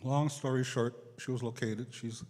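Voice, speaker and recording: A man giving an unscripted public address at an assembly, speaking haltingly and repeating phrases, over a hall sound system. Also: medium pitch, steady tone, darkish tone. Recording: echoey large hall over PA.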